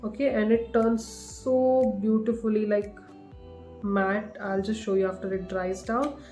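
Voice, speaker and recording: A young woman with an Indian English accent talks through her favourite products in a relaxed, rambling way. Her voice is slightly groggy from a recent cough. The room rings a little, and soft music plays underneath. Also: mid-range voice, measured pace. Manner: chatty, with many fillers and restarts. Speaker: beauty vlogger. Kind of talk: casual product review to camera. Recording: echoey room with faint music.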